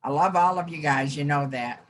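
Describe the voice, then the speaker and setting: An 89-year-old American woman reminiscing in a group interview, with halting, deliberate phrasing. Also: low voice, slight background noise. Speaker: former scout troop helper.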